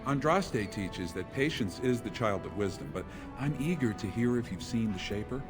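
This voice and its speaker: An actor playing an eager, devout religious brother in a fantasy video game, earnest and pious. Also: male voice, lowish voice, lively intonation, moderate pace, over music, lightly acted. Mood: patient eagerness. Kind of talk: video game character acting.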